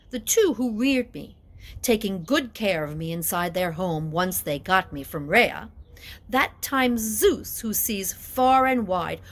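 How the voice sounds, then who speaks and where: Woman playing a high-voiced, coaxing, devious goddess queen. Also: a bit bright, slight background noise. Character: scheming queen goddess, sweetly persuasive and devious. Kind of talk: dramatic epic storytelling.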